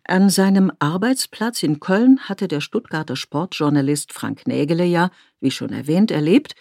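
A woman from Tyrol, narrating a documentary feature in a measured, reflective way. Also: on the low side, gravelly, warm tone, no echo, clean studio sound. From Tyrol, Austria.

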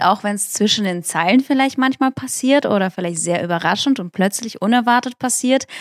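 A woman's voice: mid-range voice, dry recording, clean studio sound. A woman hosting an interview who sets up a question conversationally and invites her guest to tell a story. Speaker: podcast host.

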